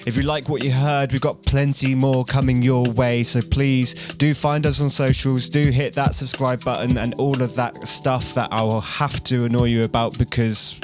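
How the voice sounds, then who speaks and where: A man's voice: mid-range voice, level tone, normal speed, hardly any pauses, faint music behind. A man hosting a podcast, asking casual interview questions and delivering informal sign-offs. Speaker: podcast host.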